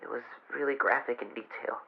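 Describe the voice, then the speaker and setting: A man playing a frightened young fox boy who records a halting, weary log in an audio drama. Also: mid-range voice, steady tone, gravelly. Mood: weary, grave. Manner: halting, hesitant, weary delivery. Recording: muffled noisy handheld radio recording.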